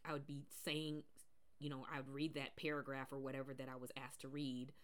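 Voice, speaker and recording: Deep low voice woman from the pacific northwest, chatty solo podcast, lots of ums and restarts. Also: fairly bright tone. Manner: rambling, many fillers and restarts. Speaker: podcast host.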